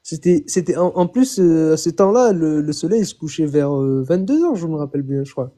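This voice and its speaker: Bright young man, halting testimony. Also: a little high, expressive. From Chad. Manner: halting, repeats words, often says "je vous jure". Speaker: caller. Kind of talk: phoned-in personal testimony.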